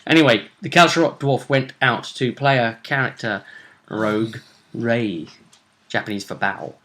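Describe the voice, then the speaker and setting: A man's voice: medium pitch, a little slow, fairly bright tone. A man, hosting a vidcast and giving a chatty, casual recap of a tabletop role-play tournament. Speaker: vidcast host.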